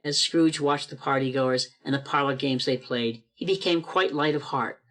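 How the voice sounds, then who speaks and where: A man narrating an amateur radio play in a steady storytelling manner, recorded over a video call. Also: medium pitch, normal speed. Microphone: home video call mic.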